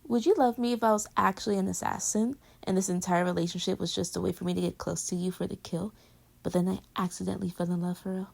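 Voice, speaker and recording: A woman playing an affectionate, teasing girlfriend with a hidden insecurity, in intimate pillow-talk roleplay audio, often slipping into breathy whispers. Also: on the low side, fairly even intonation, fast, a little mellow. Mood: playful, teasing.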